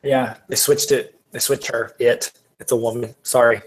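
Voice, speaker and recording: A man co-hosting a podcast in casual joking chat, halting and often trailing off. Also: medium pitch, flat delivery, breathy edge, poor audio. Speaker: podcast co-host.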